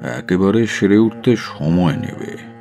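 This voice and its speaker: Reassuring doctor, very low voice, slow emphatic delivery, radio drama. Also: male voice, animated, creaky, mellow, soft background music, full character voice. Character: reassuring male doctor, overly soothing.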